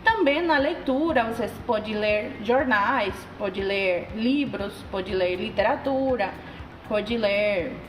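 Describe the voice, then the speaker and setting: High woman in an echoey room. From Colombia. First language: Spanish. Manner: halting, with fillers and repeated phrases. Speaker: language tutor guest. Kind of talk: casual spoken tips. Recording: echoey room, faint ambient noise.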